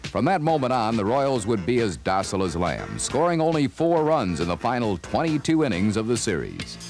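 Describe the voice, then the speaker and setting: A man's voice: mid-range voice, sing-song, normal speed. A man reading a scripted sports season documentary as narrator, with a dramatic storytelling delivery. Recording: music behind, old vinyl record. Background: music.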